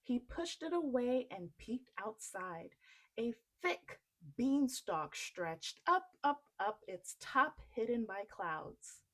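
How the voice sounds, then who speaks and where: A woman reading a children's story aloud in an expressive storytelling style. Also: fairly high pitch, takes it slowly, rough recording.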